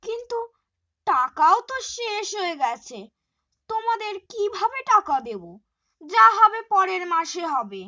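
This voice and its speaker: A woman playing a carefree young daughter-in-law in a puppet-show moral story, with exaggerated, drawn-out delivery. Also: very high voice, sing-song, sharp tone, heavily acted. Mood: indignant. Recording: dull lo-fi audio.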